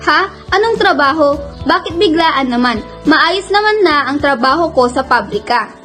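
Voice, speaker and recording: A woman's voice: high voice, lively intonation, moderate pace, sharp tone, soft background music. A woman playing a distressed, defiant young student protesting and pleading in a radio drama. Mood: uneasy.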